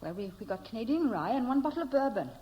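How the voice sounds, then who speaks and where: An actress playing a friendly, nosy, teasing barmaid with a Welsh accent in a 1960s radio play. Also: female voice, fairly high pitch, big pitch swings, fast, muffled recording. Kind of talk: 1960s radio drama acting.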